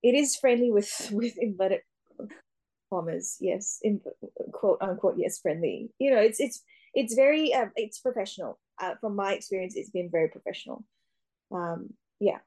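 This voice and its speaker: A young woman actor answering interview questions, often pausing with fillers and restarting her sentences. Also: mid-range voice, expressive, mellow. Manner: hesitant, many fillers and restarts. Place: Australia.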